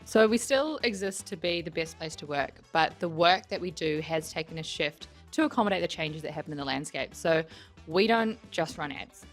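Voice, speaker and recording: A woman's voice: low voice, lively intonation, moderate pace, faint music behind. A woman in a company leadership role giving explanatory interview answers.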